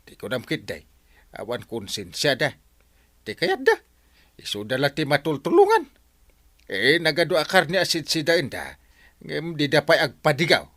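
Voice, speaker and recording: A man's voice: a little high, wildly swooping pitch, moderate pace, pauses often, a bit bright, no echo, heavily acted. A man with an Ilocano Filipino accent playing a grumbling village captain in an audio comedy, exclaiming and complaining. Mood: indignant. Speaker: lead actor in comedy drama.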